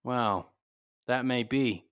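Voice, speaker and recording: An actor playing a tough, cool-headed private detective in a 1940s-style radio drama, talking in clipped, commanding slang. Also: male voice, medium pitch, takes it slowly, fairly bright tone, smooth. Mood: cool, bargaining. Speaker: stage actor in a radio play. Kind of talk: 1940s radio noir acting.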